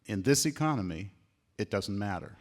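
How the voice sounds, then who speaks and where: A man from California shares at length at a seminar, earnest and reflective. He pauses often on uh as he gathers his thoughts. His voice sits fairly low. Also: sing-song. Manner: earnest, reflective, many uhs. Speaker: seminar participant. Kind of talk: personal sharing at a seminar.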